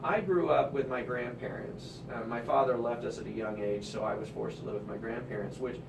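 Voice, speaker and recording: A man's voice: mid-range voice, fairly even intonation, a little fast, creaky, roomy sound, far from the mic, background noise. A man from Kansas City hosting a talk show and interviewing seniors, chatty and rambling with frequent restarts. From Midwest, Kansas City. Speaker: radio show host, mortgage broker. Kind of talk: casual interview chat.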